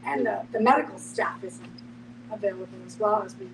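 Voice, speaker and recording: A woman leads a discussion as moderator, speaking at a middling pitch. She sits a bit away from the microphone, and noise is clearly audible around her voice. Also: fairly quick. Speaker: moderator, nonprofit co-founder. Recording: distant mic with clear background noise.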